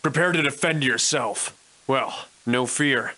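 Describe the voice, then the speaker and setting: An actor playing a wry, defiant Victorian-era adventurer and monster slayer in a video game, soliloquizing in florid, old-fashioned diction. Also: male voice, mid-range voice, takes it slowly, sharp tone. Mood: wary, defiant. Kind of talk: theatrical video game voice lines in archaic English.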